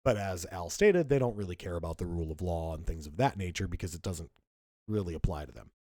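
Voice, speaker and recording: Animated, indignant man on a podcast. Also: low voice, fast, no echo, studio quality. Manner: emphatic, indignant, lots of restarts. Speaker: podcast co-host. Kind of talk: casual political commentary.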